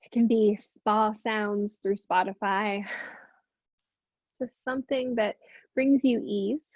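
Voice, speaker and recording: A woman teaching meditation, speaking gently and unhurriedly with long pauses in soothing guided instruction. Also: mid-range voice, steady tone, no echo, highs cut off.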